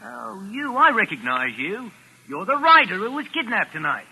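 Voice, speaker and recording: Husky, high-voiced police sergeant. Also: male voice, sing-song, normal speed, sharp tone, full character voice, low-quality recording. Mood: astonished. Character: blustery, obliging male police sergeant. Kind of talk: 1940s radio mystery acting. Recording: vintage 1940s radio broadcast.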